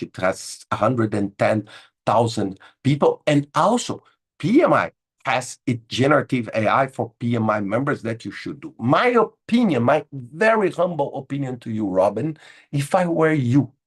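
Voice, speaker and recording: Husky-voiced man, emphatic webinar host. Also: medium pitch, animated, moderate pace. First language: Portuguese. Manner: emphatic, repeats words, says "okay?" a lot. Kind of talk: informal webinar talk answering chat questions. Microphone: video call.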